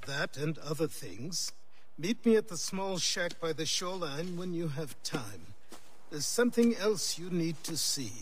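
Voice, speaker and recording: An actor playing a reserved, weary older mentor in a video game, speaking in measured, terse phrases. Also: male voice, fairly high pitch, big pitch swings, a little hiss or hum. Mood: wistful, guarded. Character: weary, reserved old mentor of a young man. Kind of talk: video game cutscene acting. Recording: music behind the voice. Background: music.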